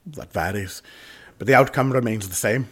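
A man acting a doomed, anguished gentleman in a radio drama, with husky voice and expressive old-fashioned upper-class phrasing. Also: fairly low pitch, normal speed. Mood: resigned, brooding. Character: doomed gentleman haunted by a family suicide curse, anguished.

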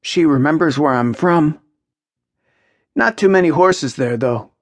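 A woman's voice: sits low, a little slow, dry recording, pitched above the performer's own voice. A woman narrator voicing a wry, guarded young man with a nonchalant, understated manner in a story.

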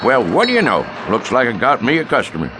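A man playing a boastful small-town storekeeper in a radio drama, with an unhurried rural American drawl and a taste for tall tales. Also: mid-range voice, wildly swooping pitch, noisy background. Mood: easygoing.

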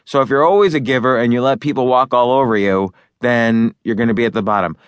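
A man's voice: medium pitch, fast talker, clear, resonant tone, no echo. A man narrating his own book in a loose, conversational way, with fillers and restarts as he talks over each point. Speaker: author and narrator.

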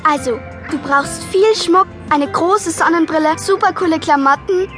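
Very high-voiced, bossy schoolgirl. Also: normal speed, music in the background. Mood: excited, confident. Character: bossy, self-assured schoolgirl full of ideas. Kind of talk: children's audio drama acting.

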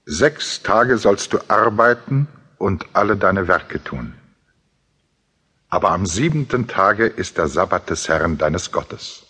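A man reciting scripture in a grave, measured, solemn manner. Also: low-pitched, lively intonation, bright, forceful tone, breathy edge. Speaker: audiobook reader of scripture. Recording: long reverberant hall echo.

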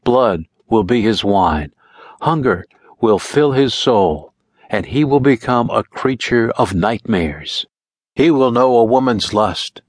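A man voicing a vengeful, heartbroken young witch who chants her curse slowly and solemnly. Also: on the low side, dry recording, clean studio sound. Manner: slow solemn incantation.

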